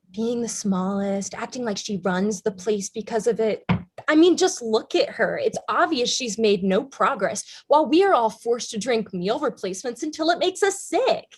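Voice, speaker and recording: A woman's voice: fairly high pitch, breathy edge. A woman playing a bitter, combative young woman in a treatment group in a staged play reading, blunt, sarcastic and profane.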